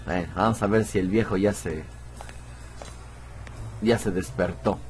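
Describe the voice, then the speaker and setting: A man's voice: low voice, steady tone, measured pace, a little mellow, slight background noise. A man giving casual let's play commentary, musing aloud in a chatty, self-deprecating way. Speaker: gameplay streamer.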